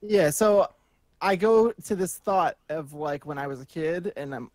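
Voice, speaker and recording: A man's voice: a little high, unhurried, darkish tone, no echo. A man co-hosting a talk show, chatting informally and wryly, with some swearing. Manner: informal, sweary, wry complaining. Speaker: co-host of a gaming talk show. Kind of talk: casual chat.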